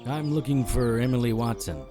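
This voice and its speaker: An actor playing a wry, calm, hard-boiled private investigator in a noir audio drama, speaking in a measured, deadpan way. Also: male voice, mid-range voice, lightly acted. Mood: polite, probing. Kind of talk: first-person noir audio drama narration. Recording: music behind the voice.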